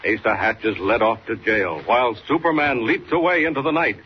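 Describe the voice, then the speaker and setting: A man's voice: medium pitch, a little fast. Casting: male narrator for old-time radio adventure, dramatic and suspenseful, dull hissy period recording. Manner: declamatory, suspenseful. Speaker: announcer and narrator. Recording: muffled, noisy 1940s radio recording.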